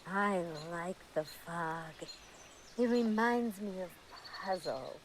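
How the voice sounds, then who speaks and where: An actress playing an eerie, enigmatic female guide in a radio drama, musing dreamily with drawn-out, lilting words. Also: fairly low pitch, expressive, a little hiss or hum. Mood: dreamy, eerie. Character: eerie, dreamy, enigmatic woman guiding through a foggy swamp. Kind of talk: radio theatre acting.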